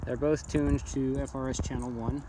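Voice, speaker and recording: Man, medium pitch, casual hobbyist demo, halting delivery, thinking aloud, heavy noise on phone video. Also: little pitch movement. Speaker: amateur radio hobbyist reviewing handheld radios. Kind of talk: casual off-the-cuff product demonstration. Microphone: handheld phone camera. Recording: noisy phone video with clicks.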